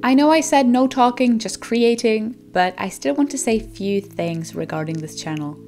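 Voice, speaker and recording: A woman's voice: on the high side, moderate pace, darkish tone, soft background music. A young woman in her twenties with a Czech accent, a native Czech speaker, narrating her art vlog in a chatty, self-deprecating way with frequent laughs. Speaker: art channel host.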